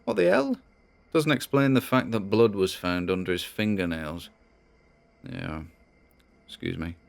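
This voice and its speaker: A man acts out a troubled British grandson speaking into his audio diary. His voice is low-pitched, and he talks in a halting, conversational way, as if confiding privately. It is a monologue from an audio drama. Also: expressive, vocal fry. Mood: shaken, sceptical.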